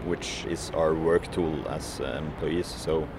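Hesitant low-voiced man with many fillers. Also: steady tone, slow pace, noisy background. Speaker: striking food courier. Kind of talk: interview answers.

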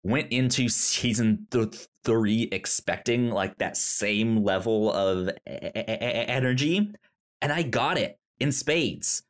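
A man's voice: mid-range voice, slow pace. A man co-hosting a podcast, chatting casually about a TV show, often stammering and restarting words. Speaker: podcast co-host. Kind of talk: casual chat reviewing a TV show.

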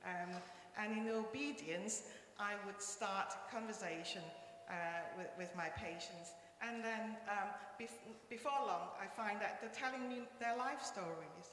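A woman's voice: medium pitch, measured pace, a bit bright. A woman from Malaysia whose first language is Cantonese shares her story before a congregation, hesitant and earnest, full of little ums. Her voice carries a strong, long echo through the church hall, with faint noise behind it. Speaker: interpreter, church member. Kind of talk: casual testimony on stage.

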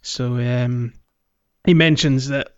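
A man talks through a video game as a podcast co-host, casual but thorough in his explanations. His voice sits at a middle pitch. The sound is very dry and a little dull, like a modest home microphone. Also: fairly even intonation, slow pace, clean tone, no room sound. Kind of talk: casual gaming chat.

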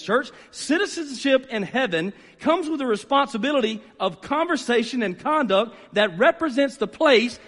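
A man preaching a sermon as a pastor, conversational yet emphatic, repeating phrases and checking in with his listeners. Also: extremely high pitch. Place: large room, preaching to a gathered congregation. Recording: reverberant hall sound.

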